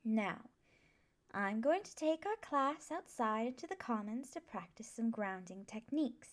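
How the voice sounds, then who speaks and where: A woman acts out a gentle, scholarly female professor of magic in an ASMR classroom roleplay. Her voice sits fairly high and she speaks very slowly. She is patient and reassuring, instructing her new students with measured care. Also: expressive. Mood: calm, instructive.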